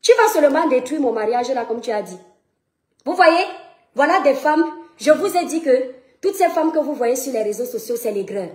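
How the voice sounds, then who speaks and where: Woman, Cameroonian French accent, high voice, mocking and confrontational, rhetorical questions, echoey room. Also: animated, moderate pace, a little mellow. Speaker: social media personality. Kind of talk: heated livestream rant to followers. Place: France.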